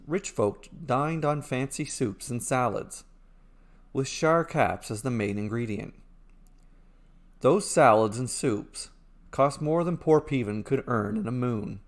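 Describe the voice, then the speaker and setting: A man tells a fairy tale as a storyteller, reading from a script. His phrasing is measured, with short sentences set apart for drama. His voice sits at a medium pitch for a man.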